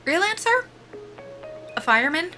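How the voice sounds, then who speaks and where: An actress playing a guarded young soldier-pilot at a job interview in an audio drama, politely formal and clipped, with dry humor. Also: female voice, very high voice, very animated, a little slow, sharp tone, faint music behind, full character voice. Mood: awkward, candid. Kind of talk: naturalistic audio drama acting.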